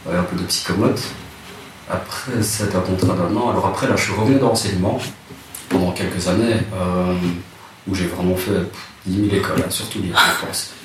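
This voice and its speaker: A man, telling his life story in a relaxed interview, hesitant and soft-spoken with many restarts. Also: low-pitched, fairly quick. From Rwanda. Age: 30s. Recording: echoey room, distant mic, noisy.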